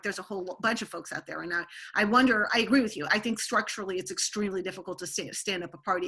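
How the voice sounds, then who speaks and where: A middle-aged woman hosting an interview podcast, talking in an animated way with many restarts and asides. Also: medium pitch, slightly rough. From born in Turkey to an American family. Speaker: podcast host. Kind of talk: conversational interview.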